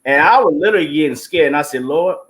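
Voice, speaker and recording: A man's voice: on the high side, lively intonation, quick pace, fairly bright tone. A man, a stage play producer appearing as a guest, giving an informal, emphatic, faith-filled testimony. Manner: informal, emphatic, devout, punctuated with 'you know what I'm saying'. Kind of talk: testimonial talk on an online show.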